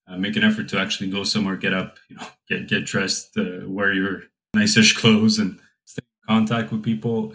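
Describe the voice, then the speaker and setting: Low, flat-voiced man in easy chat. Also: rough recording. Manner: reflective, with frequent fillers. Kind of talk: casual conversation.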